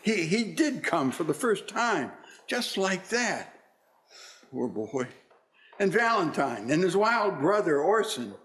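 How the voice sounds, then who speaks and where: A man playing an excitable, wistful old miser in a theatrical radio play, exclaiming and stammering when moved. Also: sits high, slow, slight background noise, full character voice. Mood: wistful, stirred.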